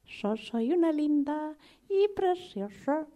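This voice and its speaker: Lady doing a high-pitched argentine cockroach mom, chatty n coy, repeats herself a lot, comic radio play. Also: very animated, slow pace, mellow, full character voice. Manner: repetitive, coy, hesitant, conversational asides. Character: chatty sentimental mother cockroach, vegan, improvised and comic. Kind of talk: improvised comic radio theatre monologue in interview form.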